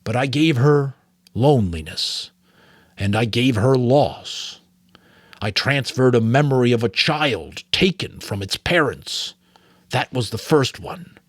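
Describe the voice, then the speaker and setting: Man playing a grieving old mentor, slow and husky. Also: mid-range voice, expressive, no echo, clean studio sound. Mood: anguished, guilty. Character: sorrowful, weary old male mentor confessing painful memories.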